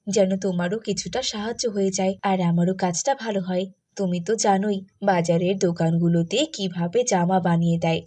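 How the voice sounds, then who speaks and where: A woman's voice: medium pitch, darkish tone, natural voice. A woman voicing a friendly, chatty neighbour woman in a moral cartoon, speaking in a familiar way. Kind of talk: Bengali moral cartoon voice acting.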